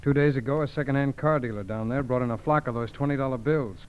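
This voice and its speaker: An actor playing a businesslike, level-headed FBI agent with a clipped, matter-of-fact manner in 1940s radio drama. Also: male voice, medium pitch, quick pace, lightly acted. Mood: brisk, alert. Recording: dull, hissy vintage radio recording.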